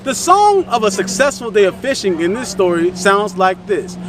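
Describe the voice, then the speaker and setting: A man's voice: sits high, very animated, moderate pace. A man hosting and telling a children's story in a theatrical, singsong delivery. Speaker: storyteller, host. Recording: slightly distant, under loud music. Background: music.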